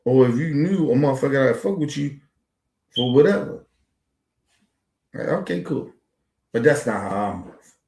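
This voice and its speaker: A man in his forties holds forth as a podcast host, rambling and circling back on himself in profane street slang. His voice sits at an ordinary middle pitch and carries a noticeable echo from the room around him. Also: animated, normal speed, pauses often, a little mellow. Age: 40s. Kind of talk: casual monologue to camera.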